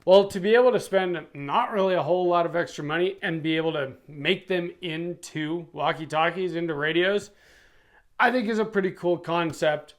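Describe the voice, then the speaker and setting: A man's voice: a little high, moderate pace. A middle-aged man reviewing gear for his channel in a casual, chatty way. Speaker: product reviewer and YouTube channel host.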